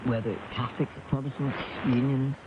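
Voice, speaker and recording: Serious male interviewee, mid pitch, emphatic phrasing, muffled and very noisy radio. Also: flat delivery, fairly quick.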